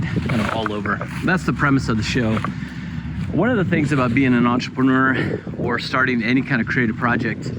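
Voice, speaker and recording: A man hosting a travel vlog, narrating his own story in a relaxed, conversational way. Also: medium pitch, heavy background noise. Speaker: host. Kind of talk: vlog narration and to-camera talk.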